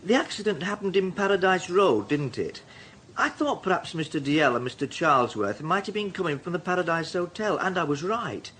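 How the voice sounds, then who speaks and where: Sly, high-pitched newspaperman. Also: lively intonation, a little fast, a bit bright, a little hiss or hum. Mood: sly, probing. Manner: insinuating, conversational. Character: probing, sly male newspaper reporter. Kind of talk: radio drama dialogue.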